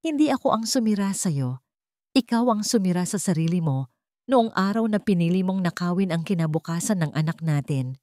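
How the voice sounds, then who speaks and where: A woman narrator voicing a wronged wife who is calm, firm and unforgiving in an audiobook drama. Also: fairly low pitch, brisk, no echo, close to an everyday voice. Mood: cold, firm. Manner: calm, resolute.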